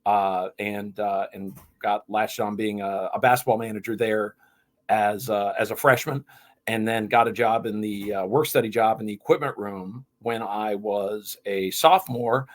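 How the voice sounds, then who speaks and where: A man's voice: fairly low pitch, steady tone, normal speed. A man from Vermont, a guest in a casual interview, telling his story earnestly with many fillers. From Vermont, USA. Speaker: guest, equipment manager.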